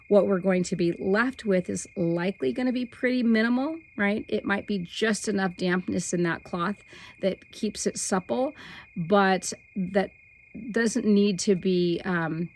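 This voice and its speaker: A middle-aged woman with a slightly hoarse voice, hosting a weekly spiritual forecast in a soft, meandering way that stretches key words. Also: medium pitch, low-quality recording. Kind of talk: casual spiritual talk to camera.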